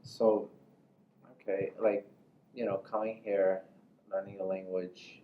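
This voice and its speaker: Deep-voiced man interviewing, echoey sound. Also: level tone, takes it slowly, recorded from a distance. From United States. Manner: informal, rambling, repeats filler phrases. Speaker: interviewer, host. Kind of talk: casual interview. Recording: echoey room, muffled, faint noise.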